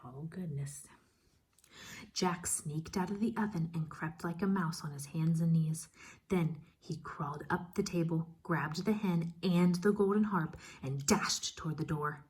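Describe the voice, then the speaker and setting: A woman teacher reading a children's story aloud expressively, pausing to ask questions and explain. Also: low-pitched, darkish tone, breathy edge. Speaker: first grade teacher.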